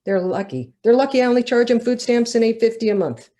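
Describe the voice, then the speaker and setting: A woman's voice: fairly high pitch, quick pace, warm tone, voice pushed up, lightly acted. Woman author voicing fed up broke mom in a novel, sarcastic, mocking, voice jumps around. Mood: indignant. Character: sardonic, weary working-class mother mocking job ads.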